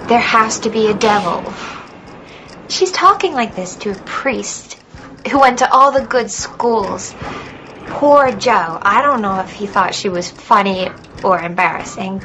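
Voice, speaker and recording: A woman's voice: medium pitch, animated, measured pace, background noise. An actress playing a possessed twelve-year-old girl in a radio drama, eerie, dreamy and knowingly taunting.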